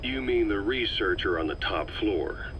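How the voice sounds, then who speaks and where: A hardened commander with a very deep, gruff voice. He questions tersely and sceptically in a game drama, over faint background noise on the tape. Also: expressive, measured pace, fairly bright tone. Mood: probing. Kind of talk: video game audio drama acting.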